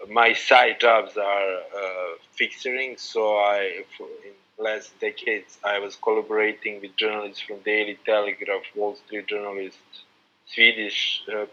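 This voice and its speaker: Brief: male journalist from Serbia, lowish, very bright edge, muffled lo-fi call audio. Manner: halting, with fillers and restarts. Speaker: investigative journalist, panel guest. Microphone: video call. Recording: dull lo-fi sound.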